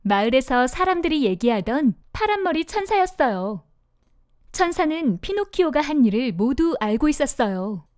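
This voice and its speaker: A woman narrating a scripted fairy tale for young children in an expressive storytelling manner. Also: sits high, moderate pace, no echo, studio quality.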